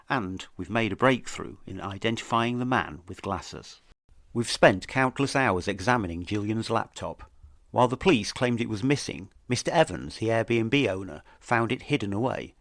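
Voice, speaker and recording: Casting: male investigative podcast narrator. Serious, measured, lowish voice, dry studio sound. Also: a bit bright, no room sound. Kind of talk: scripted true-crime narration.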